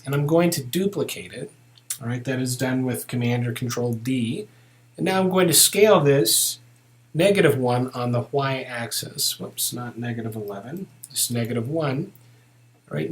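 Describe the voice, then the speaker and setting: Man calmly narrating a software tutorial. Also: medium pitch. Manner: relaxed, methodical, narrating each step as he works.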